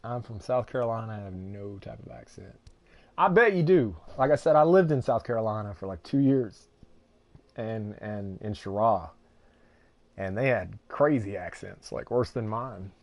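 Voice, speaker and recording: A man's voice: lowish voice, lively intonation, normal speed, a little mellow. Young man, Texan drawl, game streamer, rambling and talkative, lots of up-and-down in the voice. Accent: Texan Southern. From Texas. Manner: rambling, chatty, laughs often. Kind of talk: casual live-stream chat while gaming.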